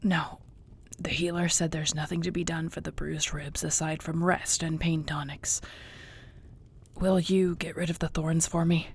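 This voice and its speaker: Husky, low-voiced battered orc girlfriend, acted by a woman. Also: little pitch movement, measured pace, exaggerated voice. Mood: wry, sore. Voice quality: husky, breathy, gravelly. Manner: sighing, conversational, wearily understated. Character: battered tough orc girlfriend, affectionate and wry. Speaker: audio roleplay voice actor. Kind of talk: intimate one-sided audio roleplay.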